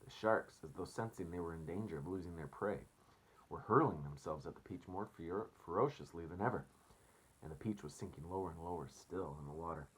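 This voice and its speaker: A man's voice: deep voice, fairly quick, slightly rough, rough recording. A man reading a storybook aloud as a teacher, expressively, and addressing children casually.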